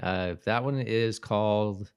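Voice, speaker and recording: A man's voice: fairly low pitch, slow pace, smooth. A man co-hosting a podcast in casual chat, speaking loosely and hesitantly, often trailing off. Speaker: podcast co-host. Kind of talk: casual chat about a TV episode.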